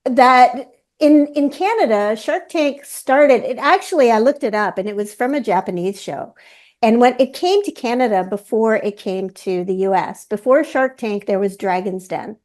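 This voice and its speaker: A woman hosting a webinar in a relaxed, rambling way, often correcting herself mid-sentence. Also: on the high side, moderate pace. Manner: rambling, self-correcting, chatty. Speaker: webinar host. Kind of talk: casual panel discussion.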